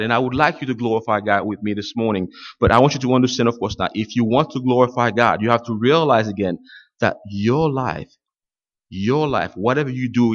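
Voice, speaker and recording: A man preaching a sermon, a native French speaker talking in English and getting his congregation to fill in his words. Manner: swings from loud high exclamations to low quiet asides. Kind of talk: call-and-response sermon. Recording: dull lo-fi sound.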